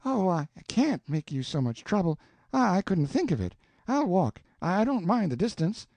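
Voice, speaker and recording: A man voicing a cheeky, smooth-talking teenage boy who plays innocent with stammering politeness. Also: sits high, big pitch swings, moderate pace, a little mellow, no echo, voice pushed up, heavily acted. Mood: polite.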